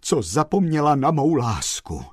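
A man's voice: fairly high pitch, big pitch swings, slightly rough, no echo, clean studio sound, pitched above the performer's own voice. A man voicing a heartbroken young chauffeur who speaks in a plaintive, sighing way.

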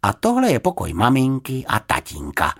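A narrator as a chatty, high-pitched young girl. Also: huge pitch swings, a bit bright, exaggerated voice. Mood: eager. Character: cheerful, chatty young girl, confident and friendly.

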